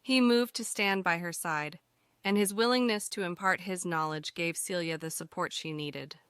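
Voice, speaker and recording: A woman's voice: lowish voice, moderate pace, a bit bright, clean tone, dry recording, studio quality. A woman reading scripted third-person fiction narration as an audiobook narrator.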